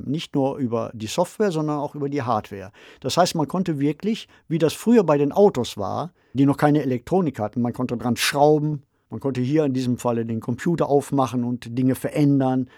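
A middle-aged or older man co-hosting a podcast, talking in a measured, deliberate and articulate way. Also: mid-range voice, dark tone, no room sound, clean studio sound. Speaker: podcast co-host. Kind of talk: conversational podcast discussion.

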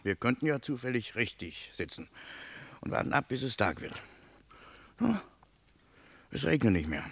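An actor playing a grumbling, bossy lost soldier in a radio play, with coarse, needling talk. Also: male voice, mid-range voice, sing-song, moderate pace, pauses often, no room sound. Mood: insistent. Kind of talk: German radio play dialogue.

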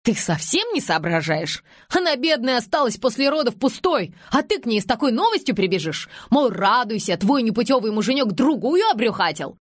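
A woman's voice: medium pitch, big pitch swings, moderate pace, no echo, clean studio sound. A woman voicing a stern, blunt, sardonic village woman with sober, clipped delivery in an audiobook story. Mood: stern, exasperated. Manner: sober, clipped, pointed questions.

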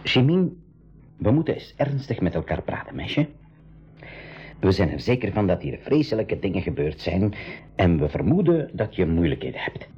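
Husky, low-voiced kindly uncle. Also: male voice, very animated, normal speed, heavily acted. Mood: concerned, earnest. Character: kindly, earnest uncle leading a travelling group. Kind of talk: 1980s school radio play acting. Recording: dull lo-fi 1980s radio recording.

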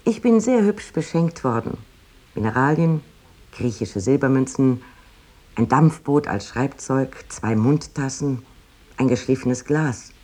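A woman's voice: a bass voice, expressive, measured pace, dark tone, full character voice. An actress playing a wry, rapturous woman poet of the 19th century, reading letters expressively in a radio play. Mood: wry amusement.

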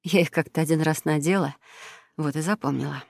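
A woman voicing a playful, thoughtful, gentle mother in a children's storybook. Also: sits low, a little fast, creaky, no echo, studio quality. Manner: musing, playful. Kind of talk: children's audio storybook character voice.

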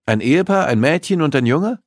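A man voicing a helpful family man in an audiobook, brisk and questioning. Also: on the high side, animated, dry recording, clean studio sound, pitched above the performer's own voice. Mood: loud, brisk. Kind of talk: audiobook character voice.

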